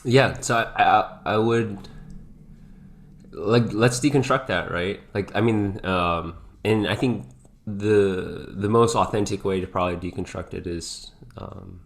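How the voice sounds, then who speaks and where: A man co-hosting a podcast in casual, reflective conversation, speaking hesitantly as he thinks out loud. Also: lowish voice, unhurried, creaky. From grew up in several countries. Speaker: podcast co-host.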